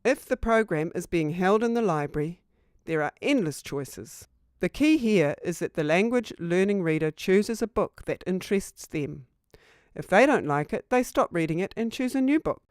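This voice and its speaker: A woman's voice: lowish voice, expressive, moderate pace, darkish tone, dry recording, studio quality. A woman reading a scripted instructional narration for a training video.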